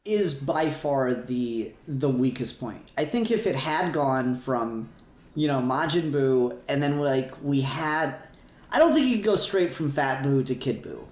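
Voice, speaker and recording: A man's voice: medium pitch, animated, unhurried, a little mellow, roomy sound. A man hosting a casual fan podcast, talking in a rambling, enthusiastic way and swearing casually. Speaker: podcast host.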